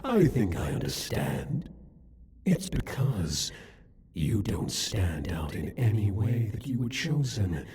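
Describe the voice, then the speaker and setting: Male actor as demon king, ASMR roleplay: low-pitched, very breathy, haughty, possessive, mocking, savoring each deliberate line. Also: big pitch swings, a little mellow, heavily acted. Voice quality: breathy, husky. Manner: taunting, condescending, deliberate, theatrical. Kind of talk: ASMR roleplay, addressed to the listener.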